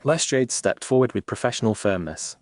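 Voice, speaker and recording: A man narrating a scripted period mystery in a formal, literary, measured style. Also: medium pitch, animated, no room sound, clean studio sound.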